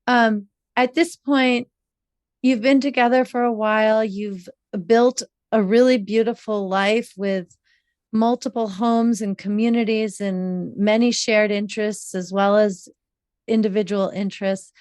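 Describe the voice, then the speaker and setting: A woman hosting a relationship podcast, asking slow, thoughtful questions with measured, drawn-out phrasing. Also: mid-range voice, fairly even intonation, darkish tone, dry recording, studio quality. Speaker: podcast host, relationship coach.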